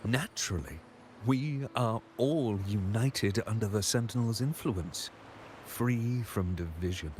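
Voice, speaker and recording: A man's voice: lowish voice, lively intonation, slow, darkish tone, slight background noise. A man playing a devout, solemn guard of a religious order in a video game, speaking formally and piously. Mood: reverent. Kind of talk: scripted video game voice acting. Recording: game music behind the voice.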